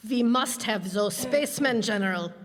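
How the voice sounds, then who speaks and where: A woman's voice: on the high side, lively intonation, normal speed, natural voice. A woman playing a pompous, scolding onion party boss in a radio comedy, stern and declamatory. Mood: stern, indignant. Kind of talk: old-time radio comedy acting. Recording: reverberant hall stage recording.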